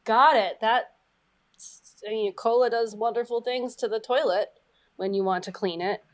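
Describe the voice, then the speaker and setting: A woman's voice: on the high side, steady tone, moderate pace. A woman telling comic anecdotes in casual chat and putting questions to a panel, with playfully dramatic emphasis. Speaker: panel professor posing quiz question.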